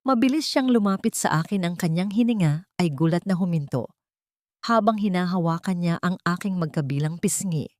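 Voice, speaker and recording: A woman's voice: on the low side, animated, normal speed, darkish tone, no echo, clean studio sound. A woman reading a scripted first-person story in a dramatic storytelling style. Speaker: story narrator.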